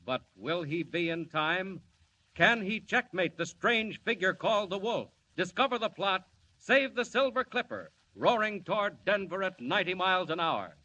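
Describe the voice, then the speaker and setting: A man's voice: high voice, expressive, moderate pace, pushed and bright. A man narrating a 1940s radio serial with a dramatic, sweeping delivery. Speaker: narrator and announcer. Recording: dull old radio recording with hiss.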